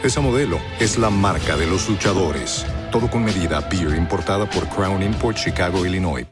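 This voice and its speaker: A man delivers a scripted commercial narration in a low register. He speaks as an advertising announcer over a loud bed of music. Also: normal speed.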